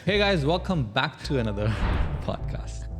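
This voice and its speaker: A man's voice: medium pitch, big pitch swings, measured pace, soft background music. A man from Pakistan hosting a casual podcast chat, joking and laughing often as he talks in loose, run-on sentences. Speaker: podcast host.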